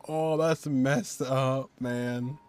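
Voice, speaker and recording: A man's voice: mid-range voice, fairly even intonation, drawn-out words, a little mellow, smooth. A man in his twenties giving casual, musing reaction commentary as a game streamer. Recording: game music behind the voice.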